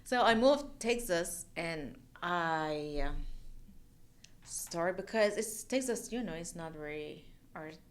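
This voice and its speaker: A woman from Iran with a Persian accent, chatting as a podcast guest, laughing often and speaking in halting, non-native phrasing. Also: lowish voice, animated. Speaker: podcast guest, mortgage loan officer. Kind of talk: casual interview chat.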